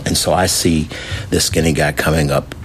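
A man, likely a pastor, telling an animated anecdote in an interview. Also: rumbling low, normal speed, a little hiss or hum, rough recording. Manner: animated storytelling.

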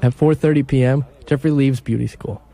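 Mellow-voiced male radio narrator. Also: medium pitch. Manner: measured, even storytelling. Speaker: radio reporter.

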